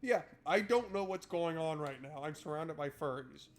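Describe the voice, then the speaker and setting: A man's voice: on the high side, lively intonation, moderate pace, slight background noise. A man streaming a game, switching between reading narration aloud and casual, irreverent, sweary joking commentary.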